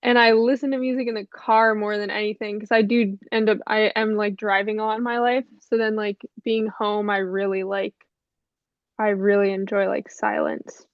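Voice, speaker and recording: Stuffy young woman musician, rambling softly. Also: medium pitch, normal speed, no echo. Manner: relaxed, rambling, many fillers and soft laughs. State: a little stuffy. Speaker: musician guest. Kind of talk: casual interview chat. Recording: dull lo-fi call audio, dry.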